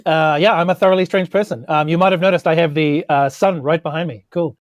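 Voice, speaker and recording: A man's voice: fairly high pitch, steady tone, fast, no room sound, muffled recording. A man casually introducing himself as a podcast guest player in a rambling, self-deprecating way.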